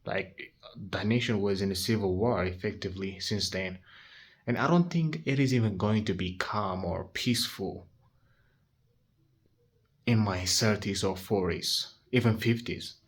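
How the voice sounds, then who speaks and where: A man's voice: on the low side, unhurried, breathy edge. A nineteen-year-old man talking frankly to his handheld phone in a casual vlog, swearing often and restarting his sentences. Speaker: vlogger.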